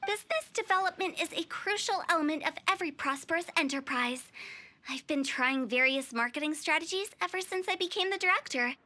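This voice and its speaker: A woman's voice: sits high, animated, a little fast, pushed and bright. A woman playing a perky, cheeky young funeral parlor director in an anime game, with theatrical sales patter. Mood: proud, persuasive. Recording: game audio with music behind.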